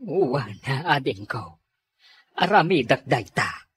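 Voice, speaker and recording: A middle-aged Ilocano father in a radio drama, husky and gruff. His delivery is reproachful, with pitch that swings widely as he speaks. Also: lively intonation, slow, fairly bright tone, no echo, heavily acted. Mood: subdued. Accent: Filipino, Ilocano.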